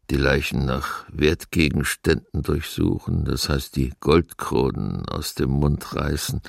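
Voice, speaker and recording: A male forced labourer soberly testifies in a radio drama. His voice is extremely deep and full of gravel, and he talks very slowly. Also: no echo, studio quality, full character voice.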